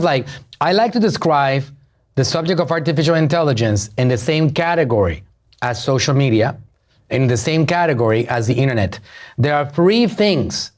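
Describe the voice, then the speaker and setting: A middle-aged man with a Puerto Rican accent lectures on business and marketing. He hammers home his points by repeating key phrases and throwing questions at his listeners. His voice sits somewhat high for a man. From Puerto Rico. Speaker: podcast host, marketer. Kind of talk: motivational business talk to an audience.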